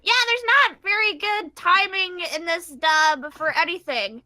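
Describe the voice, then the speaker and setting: A woman's voice: very high-pitched, lively intonation, measured pace, bright, forceful tone, dry recording. A woman improvising comedic dub banter as a fandub performer, rambling in mock exasperation. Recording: faintly noisy group stream.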